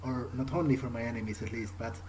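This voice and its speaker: A man improvising in character during a game playthrough, speaking in a halting way and repeating words. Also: mid-range voice, steady tone. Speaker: gamer roleplaying his character. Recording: faint background noise.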